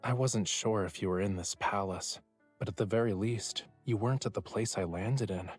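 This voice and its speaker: Low-voiced, teasing young lover. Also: male voice, normal speed, husky. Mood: earnest. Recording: music behind the voice.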